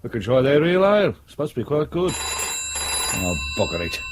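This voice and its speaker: An actor playing a sardonic, ambitious British detective sergeant in a radio crime drama, with a dry, blunt manner. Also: male voice, medium pitch, big pitch swings, moderate pace, noisy background, lightly acted. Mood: dry, wry.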